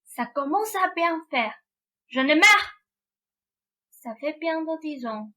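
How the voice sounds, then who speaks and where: A woman's voice: high-pitched, animated, takes it slowly, pushed and bright, exaggerated voice. A woman playing a naive, sulky young prince in a student French radio play. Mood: guarded, weary.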